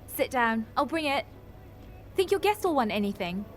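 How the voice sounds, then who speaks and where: An actress playing a kindly, brisk and slightly awkward café waitress in a radio soap. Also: female voice, high voice, big pitch swings, soft background music. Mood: brisk, friendly.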